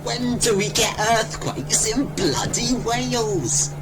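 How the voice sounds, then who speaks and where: A man's voice: sits high, expressive, slow pace, over loud music, exaggerated voice. A man playing an anxious, hesitant man worried for a missing friend in an audio drama. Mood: urgent.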